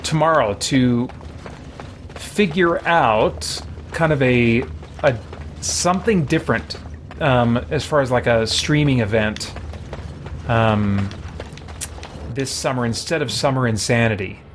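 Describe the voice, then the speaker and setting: A man's voice: medium pitch, slow, pauses often, a little hiss or hum. A man giving casual live commentary as he plays a video game, thinking aloud in a wry way. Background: video game music.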